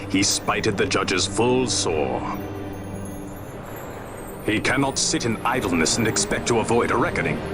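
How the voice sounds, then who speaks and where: An actor playing a worldly, wary adventurer in a fantasy game, cool and eloquent with archaic phrasing. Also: male voice, fairly low pitch. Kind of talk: fantasy game cutscene acting.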